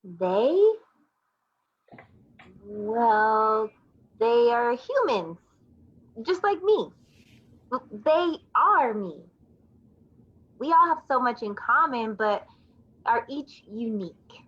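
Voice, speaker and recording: Woman, theatrical monologue, husky, very lively pitch, emphatic, slightly muffled recording. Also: extremely slow, long pauses.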